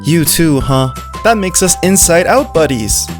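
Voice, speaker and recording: A man's voice: on the high side, lively intonation, measured pace, music in the background. A man voicing a naive, friendly male dog with a playful, childlike manner in a visual novel story. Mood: curious, chirpy. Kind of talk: first-person story narration and character acting.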